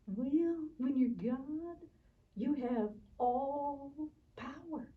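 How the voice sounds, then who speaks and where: A kind, enthusiastic puppet teacher, voiced by a woman, with a very high voice. She explains things slowly, simply and with earnest emphasis, as in a children's show. The sound is muffled. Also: recorded from a distance. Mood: awed, delighted.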